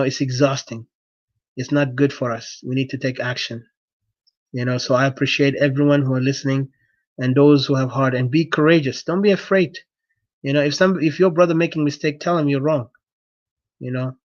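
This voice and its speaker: A man's voice: medium pitch, no room sound. South Sudanese man, dull lo-fi sound. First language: not English. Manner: exhorting, repetitive, earnest. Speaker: activist speaker addressing supporters. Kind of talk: impassioned political address, unscripted.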